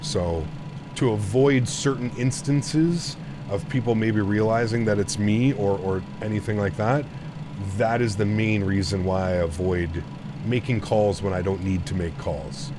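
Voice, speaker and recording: Deep-voiced man chatting on a live stream. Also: fairly even intonation, a little slow, noisy background. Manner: talks straight to chat, explains himself at length with repeated restarts. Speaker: flight sim streamer. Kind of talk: casual live-stream chat.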